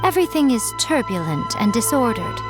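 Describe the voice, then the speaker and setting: A woman voice-acting a sly, coy fox-spirit familiar in a video game, with unhurried, smugly playful delivery. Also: a little high, very animated. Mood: composed, explanatory. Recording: game music behind the voice.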